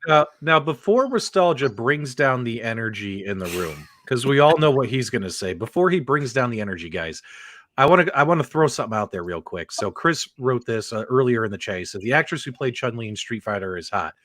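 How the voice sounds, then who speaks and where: A man hosts a loose, casual panel show in a very animated voice, swinging up and down as he teases. He is emphatic and playful, and the sound is dry and close. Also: mid-range voice, a little fast, dry recording. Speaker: host of a video game movie show.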